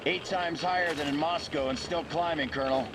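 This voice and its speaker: A man's voice: on the high side, steady tone, fairly quick, close to an everyday voice. An actor playing an alert soldier in a video game, reporting to his commander in a matter-of-fact way. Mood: wary, businesslike. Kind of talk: dramatic video game acting. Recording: loud outdoor vehicle noise.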